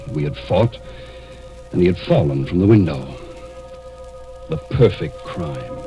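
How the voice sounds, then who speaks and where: An American actor playing a cold, scheming murderer who narrates deliberately and suspensefully in a 1940s radio mystery. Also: male voice, deep voice, dark tone, highs cut off. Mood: calculating. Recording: vintage 1940s radio recording, music behind.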